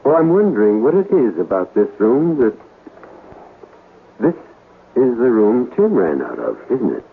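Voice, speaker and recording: An actor playing a bold, questioning, stubborn ghost hunter in a radio drama. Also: male voice, mid-range voice, huge pitch swings, a little slow, slightly rough, exaggerated voice. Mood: hesitant, wondering. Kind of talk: 1970s radio drama acting. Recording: thin phone-like old radio recording, hiss.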